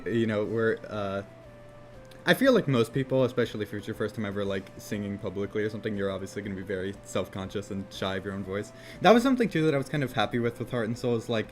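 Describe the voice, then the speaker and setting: A man casually chatting on a game development live stream, rambling and swearing easily, with a somewhat monotone voice. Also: on the low side, a little hiss or hum. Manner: rambling, laid-back, swears casually, frequent "like" fillers.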